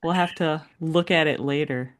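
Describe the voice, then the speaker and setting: Female podcast co-host, early 40s, lowish pitch, informal conversational read. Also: moderate pace. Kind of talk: casual chat.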